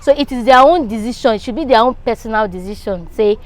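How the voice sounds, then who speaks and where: Higher-pitched Nigerian woman. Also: fast talker. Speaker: street interviewee. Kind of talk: conversational opinion.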